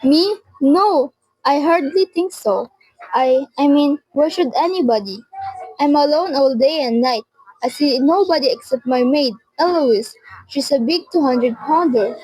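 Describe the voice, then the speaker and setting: A woman's voice: sits high, unhurried, darkish tone, no room sound, rough recording. A teenage girl playing an anxious, demanding, self-pitying bedridden wife in a radio suspense drama, insistent and stammering. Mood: defensive, self-satisfied.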